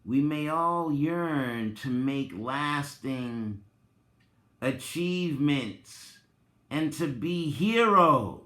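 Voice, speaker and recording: A man giving a dramatic reading, theatrical and deliberate, with drawn-out phrases and long pauses. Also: fairly high pitch, animated, sharp tone. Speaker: reader and commentator, presenter of the recording. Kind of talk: dramatic reading with informal asides. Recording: roomy, echoing sound.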